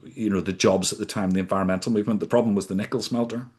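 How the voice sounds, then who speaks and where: A man in his fifties from the UK, a podcast guest recounting his career in a measured, deliberate way. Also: fairly low pitch, fairly even intonation, a little mellow, slightly rough. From United Kingdom. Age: 50s. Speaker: podcast guest, sustainability consultant. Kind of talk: reflective storytelling in conversation.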